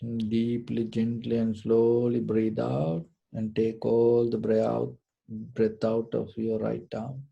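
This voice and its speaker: Very dark, mellow man guiding meditation. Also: mid-range voice, monotone, creaky, slightly rough, highs cut off. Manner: gentle, soothing, deliberate with short instructing phrases. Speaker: meditation teacher. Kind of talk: slow guided meditation instruction.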